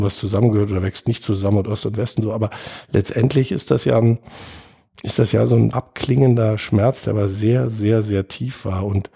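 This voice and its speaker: A man in his fifties from West Berlin, a political journalist talking as a guest in a measured, thoughtful, digressive way. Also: lowish voice, creaky, warm tone, husky. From West Berlin, Germany. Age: 50s. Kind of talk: reflective conversational storytelling.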